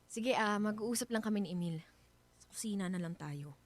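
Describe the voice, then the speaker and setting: A woman's voice: mid-range voice, normal speed, very noisy, close to an everyday voice. A woman acting as a wary, insistent wife in a Filipino radio drama, earnest and polite but nagging.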